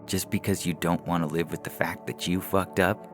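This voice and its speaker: A man's voice: deep voice, level tone, normal speed, soft background music. A man reading science fiction stories aloud as scripted narration for a podcast.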